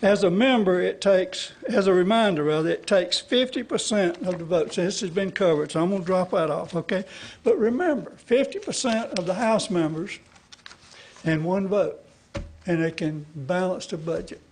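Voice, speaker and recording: High-pitched elderly man testifying. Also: moderate pace, a little mellow, slight background noise. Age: older, 70s or above. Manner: folksy, rambling, checks listeners with frequent "okay?". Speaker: citizen activist testifying to a legislative committee. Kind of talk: extemporaneous public testimony. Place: large indoor hearing room with seated audience. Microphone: gooseneck podium microphone.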